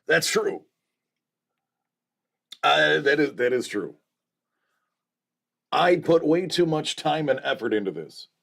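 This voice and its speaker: A man chats casually as host of a collectibles livestream, his voice gravelly with fry and pitched a touch high. His delivery swings up and down in a lively, animated way, joking along with the chat. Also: takes it slowly, long pauses. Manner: jokey, reading out chat messages.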